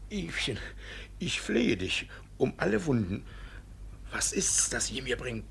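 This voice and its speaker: An actor playing a sly, flustered middle-aged village judge, blustering and wheedling, in a 1950s German radio play. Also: male voice, mid-range voice, very animated, slow pace, vocal fry, fairly bright tone, full character voice. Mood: pleading, urgent. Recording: noisy early 1950s radio recording.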